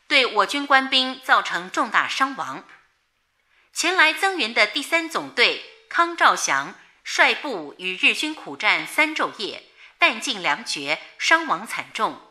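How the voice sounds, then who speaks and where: Forceful, solemn wartime woman news announcer. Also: fairly high pitch, full character voice. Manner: formal, declamatory. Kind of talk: 1930s wartime news bulletin.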